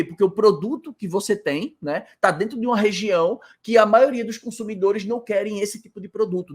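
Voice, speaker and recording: A man's voice: sits high, husky. A man with a Brazilian Portuguese accent who teaches informally to camera from indoors in a rental house, explaining a point and then answering his own rhetorical questions. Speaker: hospitality business coach.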